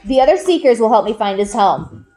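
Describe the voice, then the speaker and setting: Woman voicing a soft, gentle storybook father. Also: on the high side, fairly quick, soft background music, close to an everyday voice. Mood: calm, instructive. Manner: soft, calm, explanatory. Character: gentle, knowing father, a magical nature seeker. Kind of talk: children's book read-aloud.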